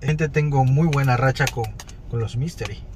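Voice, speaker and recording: A man's voice: medium pitch, normal speed, noisy background. A man in his thirties chatting casually to viewers while unboxing toys in a parked car at night. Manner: chatty, upbeat, talks straight to viewers. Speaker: toy collector vlogger.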